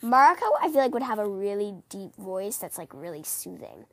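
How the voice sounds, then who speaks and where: A woman hosting a casual book-fan podcast, chatting in a rambling, animated, giggly way, her voice scratchy from a cold. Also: medium pitch, brisk, gravelly, no echo. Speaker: podcast host.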